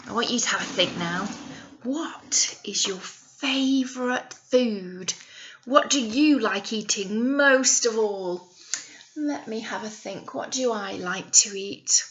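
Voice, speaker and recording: A woman's voice: a little high, slow, a bit bright, slight background noise. A British woman, a teacher, telling a story to young children expressively, with coaxing questions and asides, on a slightly dull recording.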